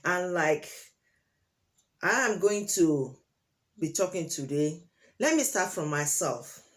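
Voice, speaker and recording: Slow, deliberate Nigerian woman vlogger. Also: on the low side, animated. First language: Yoruba. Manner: deliberate, with many hesitations and fillers; rises into emphatic, preaching tone on key points. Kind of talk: opinion monologue to camera.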